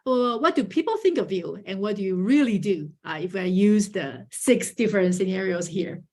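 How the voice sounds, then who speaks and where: A woman's voice: mid-range voice, expressive, normal speed, a little mellow. A woman moderating an online panel over a video call, speaking with frequent hesitant fillers.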